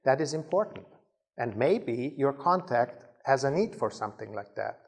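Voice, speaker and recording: Male speaker, mid-pitched and gravelly, giving an informal leadership talk with frequent restarts. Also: lively intonation, moderate pace. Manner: conversational, stumbles and repeats words, acts out sample dialogue. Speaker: conference speaker.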